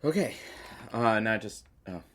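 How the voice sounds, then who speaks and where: An actor playing an awkward, hesitant radio sidekick filling in as the announcer in an audio drama. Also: male voice, lowish voice, animated, unhurried. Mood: flustered. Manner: hesitant, fumbling. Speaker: radio producer and stand-in announcer.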